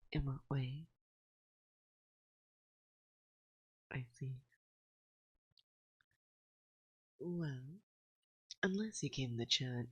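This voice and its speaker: A woman playing a playful, dominant forest witch in an ASMR roleplay, speaking softly and breathily close to a binaural mic, often slipping into a whisper, teasing and coaxing. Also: rumbling low, husky, no room sound, full character voice, rough recording. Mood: coy, teasing. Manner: slow, teasing, coaxing, with musing pauses. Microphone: close binaural microphone.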